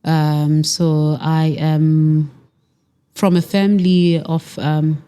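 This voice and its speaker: A South African woman, a podcast guest, telling a personal family story in a measured, reflective way with pauses and restarts. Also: deep voice, level tone, warm tone, smooth.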